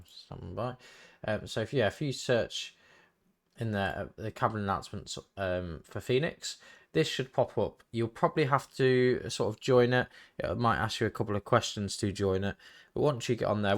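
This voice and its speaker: A man giving a casual, hesitant software walkthrough, thinking aloud as he goes. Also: fairly low pitch. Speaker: tutorial host. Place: indoors, voice-over for screen recording.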